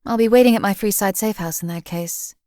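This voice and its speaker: A woman voicing a cold, calculating female assassin companion in a game, with a calm, detached, clipped delivery. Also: mid-range voice, a little fast, no echo, clean studio sound, lightly acted. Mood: menacing. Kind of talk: game voice lines.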